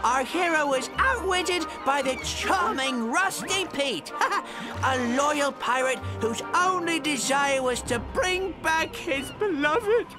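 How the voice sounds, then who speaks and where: An actor voicing a sly, cheerful robot pirate storyteller in a video game, chummy and mocking in a theatrical way. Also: male voice, extremely high pitch, animated, takes it slowly, exaggerated voice. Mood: jaunty storytelling. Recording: loud music behind.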